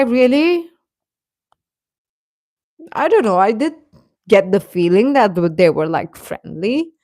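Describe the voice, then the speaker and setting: A woman hosting a casual reaction chat, talking in an animated, giggly, rambling way and often repeating phrases for emphasis. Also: lowish voice, no room sound, clean studio sound. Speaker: livestream host chatting with viewers.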